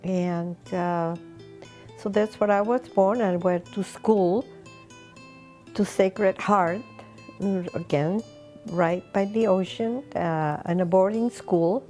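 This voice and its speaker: Slow-speaking elderly woman. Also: mid-range voice, mellow, soft background music. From Lima, Peru. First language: Spanish. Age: 80s. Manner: unhurried, pausing between short phrases. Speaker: interviewee recounting personal history. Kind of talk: reminiscing oral history interview.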